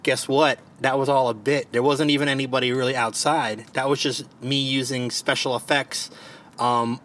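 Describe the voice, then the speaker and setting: Joking Baltimore-accented podcast host. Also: male voice, mid-range voice, level tone, normal speed, a bit bright. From Maryland. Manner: joking, swears freely. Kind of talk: casual chat. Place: parked car. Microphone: home podcast mic.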